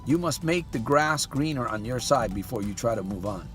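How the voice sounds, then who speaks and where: A man's voice: mid-range voice, expressive, normal speed, fairly bright tone, faint music behind. A man giving a motivational monologue as a life coach. He keeps firing rhetorical questions at the listener and talks to them in a folksy way, calling them "my friend".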